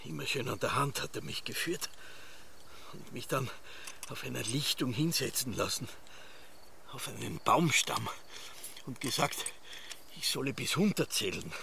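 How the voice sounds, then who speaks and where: An actor playing a brooding Austrian farmer father lost in memories, telling them slowly and solemnly in a radio drama. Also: mid-range voice, lively intonation, vocal fry, bright, forceful tone, slight background noise, exaggerated voice. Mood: brooding, reminiscing. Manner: slow, solemn, archaic storytelling. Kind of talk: theatrical radio drama monologue.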